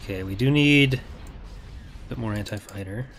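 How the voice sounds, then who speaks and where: A man's voice: fairly low pitch, unhurried, a bit bright, a little hiss or hum. A man with a Canadian English accent commentating his strategy game match casually, thinking aloud and weighing his options. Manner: thinking aloud, analytical, hedging with fillers. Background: game sound effects and unit voices.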